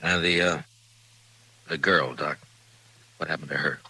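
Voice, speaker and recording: A deep, gruff frontier lawman. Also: steady tone, unhurried, bright, forceful tone, no echo, exaggerated voice, low-quality recording. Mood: serious, searching. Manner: laconic, probing, plainspoken. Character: stern but conflicted, honest frontier lawman. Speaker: actor in a western radio drama. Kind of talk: 1950s radio drama acting. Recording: vintage radio recording.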